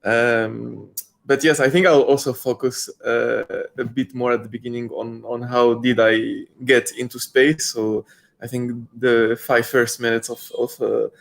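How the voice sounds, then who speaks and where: A young man from Morocco, whose first languages are Spanish and Darija, speaks over a video call. He talks in a halting way, restarting his sentences often. His voice sits at an ordinary pitch for a man. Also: flat delivery, creaky. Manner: hesitant, many restarts and fillers. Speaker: panel speaker. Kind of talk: online panel talk.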